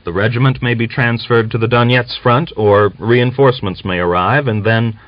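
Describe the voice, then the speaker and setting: A man's voice: medium pitch, steady tone, moderate pace, no room sound, voice pushed up, close to an everyday voice. Earnest anxious officer guy done by a male narrator, formal and persuasive, dull lo-fi audiobook sound. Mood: urgent, polite.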